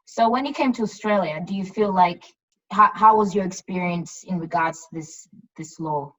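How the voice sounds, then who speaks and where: A woman's voice: on the low side, moderate pace, far from the mic. Woman moderating a panel from off-mic. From Burundi. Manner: relaxed, encouraging, hands the floor around. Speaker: discussion moderator, youth programs coordinator. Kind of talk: hosting a panel discussion. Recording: dull lo-fi sound from off-mic.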